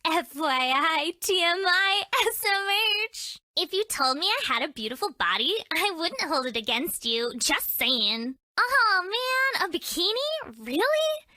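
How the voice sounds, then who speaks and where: A woman's voice: extremely high pitch, sing-song, unhurried, bright, forceful tone, no echo, heavily acted. A woman voicing a brash, mischievous, lewd young woman in a dating game, with a teasing, theatrical delivery. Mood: smug teasing. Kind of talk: video game character voice lines.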